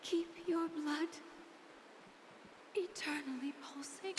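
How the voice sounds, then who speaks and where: A grieving young mother from a video game, solemn and tender. Her high voice moves slowly through prayer and blessing, at times slipping into breathy whispers, inside a long hall reverb with music behind. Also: a little hiss or hum. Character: sorrowful young mother blessing her child, praying to a goddess. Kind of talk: dramatic video game acting.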